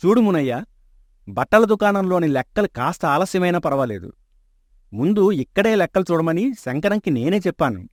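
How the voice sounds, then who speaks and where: A man's voice: sits high, moderate pace, no room sound. A kindly rich old merchant father. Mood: calm. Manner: calm, fatherly and assured. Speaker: shop owner. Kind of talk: animated storybook dub. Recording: dry close studio mic.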